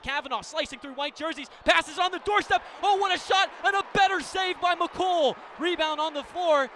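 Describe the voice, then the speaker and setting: High-voiced American man calling live sports. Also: pushed and bright, a little hiss or hum. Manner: clipped, descriptive phrasing. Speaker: play-by-play radio commentator. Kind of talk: live lacrosse radio commentary.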